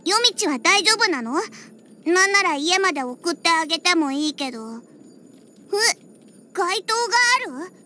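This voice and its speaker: Feisty little cat-girl, very high childlike voice, bright and sharp, huffy tsundere, anime game character, music behind. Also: expressive, measured pace, slight background noise, full character voice. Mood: huffy, flustered. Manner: huffy, tsundere, slipping into cat-like "nya" endings. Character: feisty, proud young cat-girl bartender with cat ears. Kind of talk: Japanese anime-style game character voice lines.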